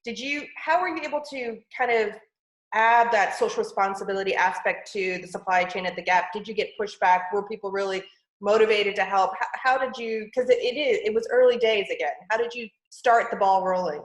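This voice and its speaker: A woman's voice: mid-range voice, fairly quick. Woman, podcast host, curious, eager questions, roomy echo. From California. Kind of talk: podcast interview.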